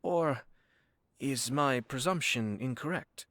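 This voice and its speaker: A man voicing a calm, refined young noble clan commissioner who speaks in a polite, formal and measured way. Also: mid-range voice, dry recording, clean studio sound. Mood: composed. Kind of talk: game voice acting.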